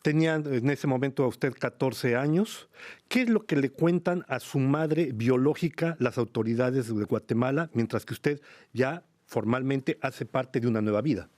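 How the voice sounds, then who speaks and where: A man hosting a radio interview, speaking in a measured, formal way and leading with long framing questions. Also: medium pitch, darkish tone. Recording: radio broadcast studio.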